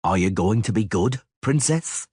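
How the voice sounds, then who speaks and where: A male narrator voicing a weary grown monster hunter who speaks in a curt, dry, gruffly sarcastic way. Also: lowish voice, animated, moderate pace, a little mellow, dry recording. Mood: stern. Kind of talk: audiobook character voice.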